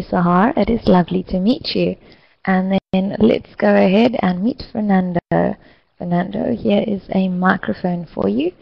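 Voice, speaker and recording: A woman facilitating an online English lesson, speaking slowly and clearly to encourage her students. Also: mid-range voice, no room sound, highs cut off. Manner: slow, clear, encouraging teacher talk. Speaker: English teacher hosting an online class.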